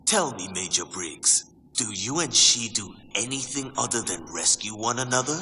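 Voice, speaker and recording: A man's voice: fairly low pitch, expressive, moderate pace, a little hiss or hum. A man playing a scheming, pompous sorcerer who presides over a tournament in a theatrical way, in a video game. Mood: smug. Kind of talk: dramatic video game acting. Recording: long hall reverb under loud music.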